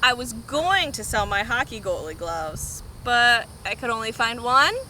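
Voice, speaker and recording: A woman's voice: on the high side, animated, unhurried, bright, forceful tone, a little hiss or hum, exaggerated voice, poor audio. A woman reading a storybook as an easygoing, disorganized schoolboy telling his own story. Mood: matter-of-fact. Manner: plain storybook read-aloud.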